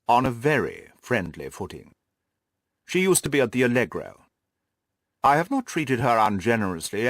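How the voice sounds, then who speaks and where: Man acting aristocratic lord, haughty, pompous, formal, long-winded, animated intonation, audiobook character. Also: mid-range voice, no echo, studio quality, lightly acted. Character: haughty aristocratic lord, stuffy, self-satisfied client.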